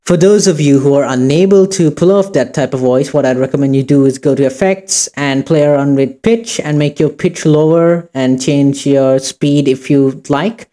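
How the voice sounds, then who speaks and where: Mellow-voiced casual man hosting a tutorial. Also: mid-range voice. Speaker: tutorial host. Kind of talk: informal screen-recorded tutorial.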